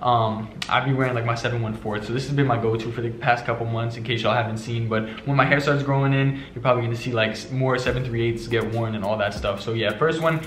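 A young man from New York showing his hat collection in a casual, chatty vlog, talking quickly with lots of fillers and slang. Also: medium pitch, fairly even intonation, fairly bright tone, a little hiss or hum. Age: young adult. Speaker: fashion vlogger. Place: bedroom with shelves, indoors. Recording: echoey room.

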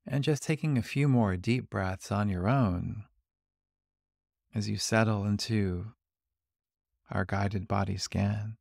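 Male meditation guide: lowish, creaky voice, calm and gentle delivery, leaving long pauses between instructions. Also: no room sound, studio quality. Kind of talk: guided body scan meditation.

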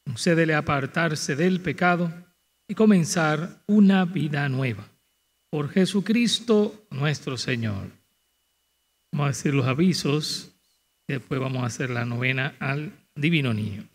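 Slow-paced priest. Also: male voice, on the high side, animated. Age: middle-aged. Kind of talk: liturgical prayer and announcements to a congregation. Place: large church, indoors. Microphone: microphone on altar stand or handheld.

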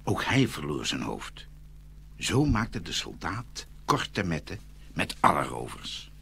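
A male storyteller narrates a fairy tale. His voice is low and lively, with a bright, forceful edge and dramatic delivery. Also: slow pace, gravelly, a little hiss or hum.

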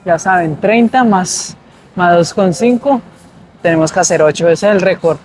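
A man's voice: high-pitched, normal speed, a little hiss or hum. A young man, chatting upbeat and casual to camera as a fitness vlogger between sets in a gym. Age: young adult. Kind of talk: casual vlog chat to camera. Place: large indoor gym, then a shopping mall walkway.

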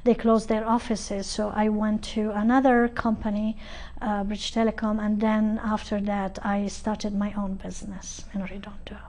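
A middle-aged woman from Lebanon, a guest in a TV studio interview, speaking slowly with many pauses and ums. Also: medium pitch, flat delivery, a little mellow. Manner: slow and halting, many ums. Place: TV studio set.

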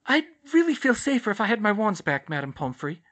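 A woman's voice: mid-range voice, lively intonation, fairly quick, fairly bright tone, voice pushed up. A woman voicing an anxious, earnest and insistent teenage girl witch in a storybook reading. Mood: nervous, pressing. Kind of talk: audiobook-style character dialogue.

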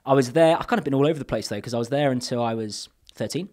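A man in his thirties from England sits in a podcast studio and talks into a boom mic. He rambles through his answers with restarts and fillers. His phrases often trail off quietly. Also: racing. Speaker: podcast guest. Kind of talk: casual interview chat. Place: podcast studio with brick wall. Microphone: boom mic on arm.

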